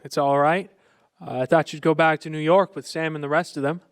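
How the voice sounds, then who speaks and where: A man plays an earnest young small-town fellow in an old-fashioned radio drama. His high voice halts and stammers in a folksy way, and the sound is very dry. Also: fairly even intonation, brisk, smooth, dry recording, lightly acted. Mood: bashful, evasive. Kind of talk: live radio play acting in an old-fashioned manner.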